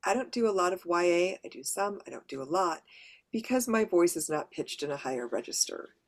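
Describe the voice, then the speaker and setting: Woman, lower pitched, practical presenter explaining things step by step to a workshop audience. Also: animated, a little fast. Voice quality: mid to lower female voice. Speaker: workshop presenter and audiobook narrator.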